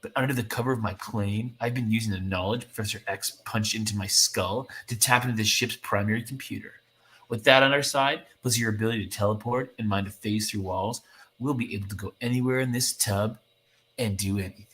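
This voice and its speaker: A man voicing an excited, earnest teenage girl superhero from a comic book, full of eager wonder. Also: lowish voice, brisk, lightly acted. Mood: plucky, confiding.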